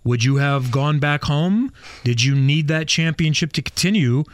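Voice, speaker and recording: A man's voice: on the high side, moderate pace, no room sound. A man hosting a motorsport talk show in the studio, reeling off his guest's career results and asking questions. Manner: informed, reads off guest's career stats. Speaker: show host. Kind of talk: radio sports talk interview.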